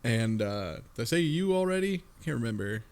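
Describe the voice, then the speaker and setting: Jokey mid-pitched man chatting on a podcast. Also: big pitch swings, fairly quick, a little mellow. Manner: jokey, informal, acts out quoted lines. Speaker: podcast host. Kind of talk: casual, joking discussion of a video game story.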